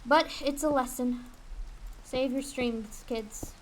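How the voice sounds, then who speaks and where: A young woman with a fairly high voice talks casually as she streams a game. She is candid and rambling, swearing easily. There is noticeable noise behind her on the recording. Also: slow, rough recording. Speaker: streamer and aspiring voice actress. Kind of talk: casual livestream chat while gaming. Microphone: USB desk condenser mic.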